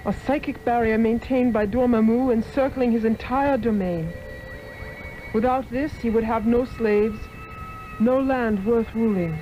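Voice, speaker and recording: An actress playing an earnest woman of a dark dimension, formal and urgent, in a 1960s radio drama. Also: fairly high pitch, unhurried, lightly acted. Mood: grave pleading. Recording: dull lo-fi old radio, music behind.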